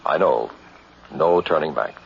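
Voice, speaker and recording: An actor playing a young newlywed husband, stubborn but hesitant, in an old radio drama. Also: male voice, extremely low pitch, measured pace, gravelly, background noise, full character voice. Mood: resolute, tense. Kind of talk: 1960s radio drama acting. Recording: muffled vintage radio, music behind.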